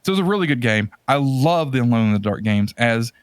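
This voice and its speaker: A man's voice: mid-range voice, lively intonation, quick pace, smooth, no room sound, studio quality. A man chatting casually as a podcast host, rambling and enthusiastic about his subject. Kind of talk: casual chat about retro games and movies.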